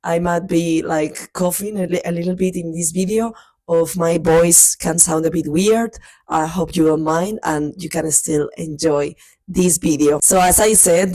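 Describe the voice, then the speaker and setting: Woman, getting over a cold, chatty with restarts, low voice, dry sound. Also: dark tone, no room sound. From Spain. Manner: chatty, with frequent restarts and fillers. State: recovering from a cold. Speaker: book vlogger. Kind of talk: casual chat. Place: family house, indoors.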